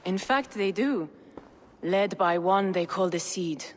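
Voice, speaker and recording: A woman's voice: mid-range voice, takes it slowly, lightly acted. A woman playing a shrewd, composed merchant informant at a king's court in a video game, speaking formally. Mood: knowing, composed. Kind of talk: video game cutscene acting. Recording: long reverb, faint noise.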